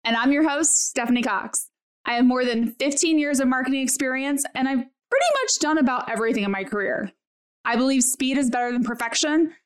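A woman's voice: sits high, a little fast, clean tone. A woman host delivering a bold, brash scripted show intro.

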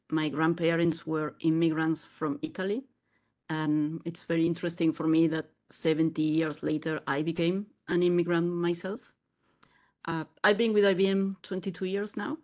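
A woman from Argentina with a low voice joins a podcast as a guest. She talks fluently, in a storytelling tone. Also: little pitch movement, moderate pace, darkish tone.

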